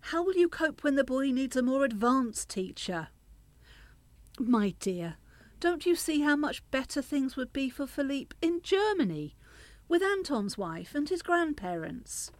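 A woman's voice: sits high, very animated, measured pace, no room sound. An actress in a radio play playing a middle-aged grandmother who pleads and reproaches, coaxing and justifying herself. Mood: coaxing, pleading. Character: pleading, reproachful grandmother, anxious to smooth things over.

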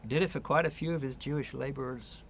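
A man's voice: mid-range voice, steady tone, fast, gravelly, a little hiss or hum, natural voice. An actor in a radio play voicing an earnest, patient man who speaks hesitantly, with small stammers. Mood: earnest, explaining. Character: earnest, patient man gently persuading a younger relative. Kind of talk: naturalistic radio play acting.

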